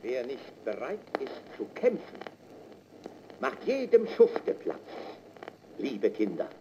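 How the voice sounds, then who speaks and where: Muffled 1930s officer orating. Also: male voice, mid-range voice, huge pitch swings, slow, full character voice. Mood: stern, exhorting. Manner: declamatory, solemn. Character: stern male officer orating obedience on a 1936 recruitment record. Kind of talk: 1930s propaganda speech. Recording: muffled, noisy old gramophone record.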